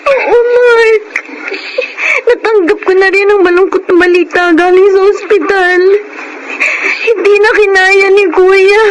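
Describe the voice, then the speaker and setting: A woman acting a grieving, respectful daughter and elder sister in a Filipino radio drama, with a Filipino accent and a tearful, halting delivery. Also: very high-pitched, fairly even intonation, heavy background noise.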